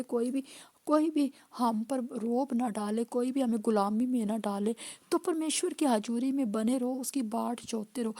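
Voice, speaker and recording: A woman pastor preaching a sermon with a hoarse voice, driving points home through repeated phrases and calls of hallelujah. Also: a little high, mellow.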